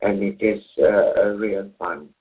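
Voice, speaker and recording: Slow hesitant Serbian-accented man, tinny line. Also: fairly low pitch, breathy edge. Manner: hesitant, many filler sounds and restarts. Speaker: guest. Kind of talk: call-in radio interview. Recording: tinny phone-line audio.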